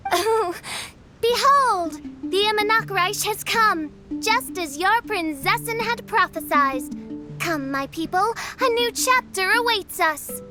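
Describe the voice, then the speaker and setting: A haughty teenage princess with a very high voice. She proclaims slowly and theatrically in archaic style, with clear game music behind her. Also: lively intonation, full character voice. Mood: triumphant. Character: grandiose teenage girl proclaiming herself otherworldly princess. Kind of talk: video game voice acting.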